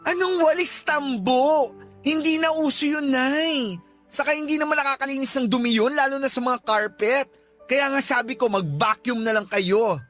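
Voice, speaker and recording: An exasperated adult child in a radio drama, scolding an aging parent. The voice is high and piercingly bright, nagging away over faint background music. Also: female voice, moderate pace. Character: irritated adult child scolding an elderly mother. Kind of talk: Tagalog radio drama acting.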